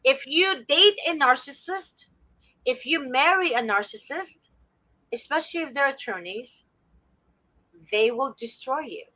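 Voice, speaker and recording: A woman hosts a casual talk show with passion, leaning into a sermon-like tone. Her voice is bright and sharp, and the mic is close, with no echo. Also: high-pitched, animated, measured pace, long pauses. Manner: passionate, preachy, quotes scripture.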